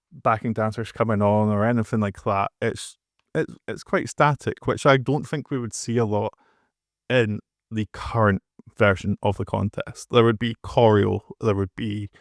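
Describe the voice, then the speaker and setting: A man's voice: lowish voice, dry recording, clean studio sound. A man hosting a podcast, chatting casually and sharing his opinions. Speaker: podcast host.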